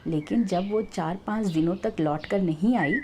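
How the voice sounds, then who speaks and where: A woman's voice: fairly low pitch, moderate pace, background noise. A woman storyteller narrating a scripted tale.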